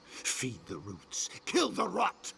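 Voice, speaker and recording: Video game madman: strained and gravelly, forceful, menacing, theatrical ravings. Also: medium pitch, wildly swooping pitch, slow pace, slight background noise, exaggerated voice. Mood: enraged. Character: big deranged, hostile, plague-maddened man. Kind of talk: video game character acting.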